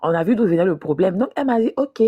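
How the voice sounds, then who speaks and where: A woman's voice: mid-range voice, lively intonation, fast talker, breathy edge, narrow, tinny audio. A woman guest on a live talk show, telling her story hesitantly with frequent restarts and repetitions. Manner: hesitant, restarts and repeats herself. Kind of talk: live-stream interview testimony.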